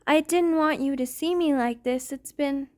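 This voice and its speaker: A woman plays an ancient vampire noblewoman with a fairly high voice. She speaks to her lover in genteel, formal phrases, affectionate and tender, in an intimate ASMR roleplay. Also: normal speed, a little mellow, natural voice. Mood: hurt, confiding. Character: tender, aristocratic centuries-old female vampire lover.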